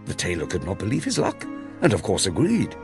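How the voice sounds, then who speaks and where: Highly animated male storyteller over music. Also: fairly quick. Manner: expressive, theatrical storytelling. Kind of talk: scripted fairy tale narration for children.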